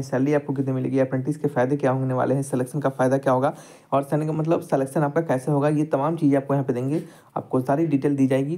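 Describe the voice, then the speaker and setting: A man's voice: medium pitch, dark tone. A man tutoring students over a screen-recorded lesson, explaining step by step and addressing them directly. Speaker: exam tutor.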